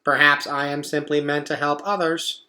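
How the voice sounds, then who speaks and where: A man voicing a gentle, earnest, selfless robot from a storybook, speaking in a measured, formal and plain way. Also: on the high side, flat delivery, voice pushed up. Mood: humble.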